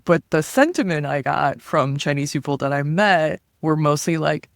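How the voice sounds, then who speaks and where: A woman from Taiwan, a comedian and guest on an interview, telling stories in an animated, chatty way and acting out the voices she quotes. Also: sits low, normal speed, gravelly, no echo, studio quality. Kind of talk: casual interview storytelling.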